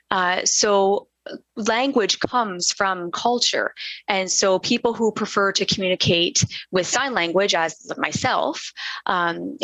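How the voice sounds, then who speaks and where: A woman interpreting a signing panelist aloud in the first person on a video call, measured and halting with frequent fillers. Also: medium pitch, fairly bright tone, no room sound, low-quality recording. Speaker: sign language interpreter voicing a panelist.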